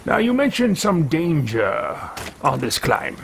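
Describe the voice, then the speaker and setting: A man's voice: on the high side, big pitch swings, takes it slowly, creaky, a bit bright, slight background noise. Man doing a pompous, theatrical character voice. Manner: pompous, theatrical, sly asides. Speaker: let's play host voicing his character. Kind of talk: roleplaying a haughty character over gameplay. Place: home room with bookshelves. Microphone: headset.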